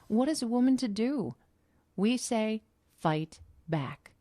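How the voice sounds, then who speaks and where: A woman's voice: a little high, animated, takes it slowly, mellow, no echo. A woman reading a scripted, dramatic sales narration. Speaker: advert narrator.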